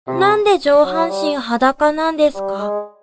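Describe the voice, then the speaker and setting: A woman's voice: high voice, lively intonation, slow pace, reverberant, in a large hall, loud music behind the voice. A woman playing an earnest, polite, small young male college student in an audio drama. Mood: polite, concerned. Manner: polite, formal, soft-spoken earnest delivery. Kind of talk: audio drama monologue and dialogue.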